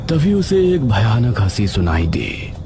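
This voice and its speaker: A man's voice: lowish voice, big pitch swings, unhurried, background noise. A man narrating a scripted cartoon story in a dramatic storytelling manner. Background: music.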